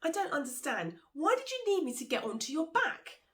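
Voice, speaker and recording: A woman voicing an anxious, excitable male monkey in a folk tale, exclaiming in panic and indignation. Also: high-pitched, brisk, voice pushed up. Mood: scared, bewildered. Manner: exclaiming, shrieking when alarmed.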